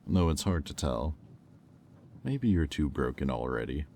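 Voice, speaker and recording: A man playing a haughty, menacing demon in roleplay audio, speaking in a condescending, deliberate, taunting drawl. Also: very deep voice, big pitch swings, warm tone, a little hiss or hum, exaggerated voice. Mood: threatening, taunting. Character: haughty, menacing male demon buyer, coolly cruel and mocking. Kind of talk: slow, intimate roleplay audio acting.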